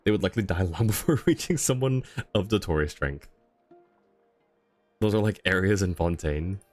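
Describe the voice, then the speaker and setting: A man with a low voice livestreams a video game. He talks casually and wryly, as if chatting with viewers, while faint game music plays underneath. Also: lively intonation, normal speed, a little mellow. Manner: chuckling, wry, conversational.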